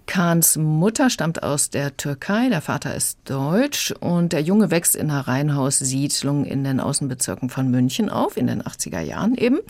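Low-voiced woman reviewing books. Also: a little mellow, no echo. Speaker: radio book critic. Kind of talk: explanatory review in studio conversation.

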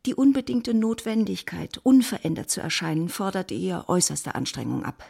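Creaky-edged woman narrating a literary drama. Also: on the low side, sing-song, moderate pace, a little mellow.

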